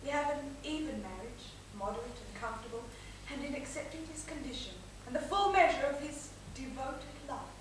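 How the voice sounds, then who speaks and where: A woman's voice: high voice, brisk, few pauses, natural voice. A woman playing an anguished married lady torn by secret passion in a stage period comedy, with melodramatic pleading delivery. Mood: solemn, yearning. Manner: melodramatic, breathless pleading, theatrical. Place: theatre stage, recorded from the audience. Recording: echoey theatre stage, distant mic, faint hiss.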